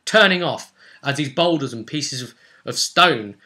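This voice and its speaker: A man's voice: a little high, expressive, a little slow, bright, forceful tone. A man narrating a tabletop role-play as game master, theatrical and dramatic, in short punchy phrases.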